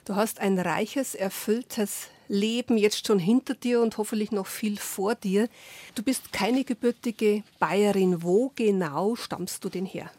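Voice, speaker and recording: Brief: woman radio host, chatty interviewer, mid-pitched, dry studio sound. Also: measured pace, no echo. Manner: conversational, asks gentle follow-up questions. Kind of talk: radio music program and interview.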